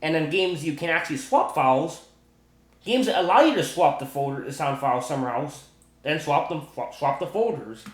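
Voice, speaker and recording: A man's voice: fairly high pitch, lively intonation, fairly quick. A man giving rambling, casual gaming commentary, stumbling over and repeating his words, with slangy enthusiasm. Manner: repetitive, stumbling, restarts sentences often. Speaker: gaming video creator. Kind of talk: rambling casual commentary on video game glitches. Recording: roomy, echoing home recording.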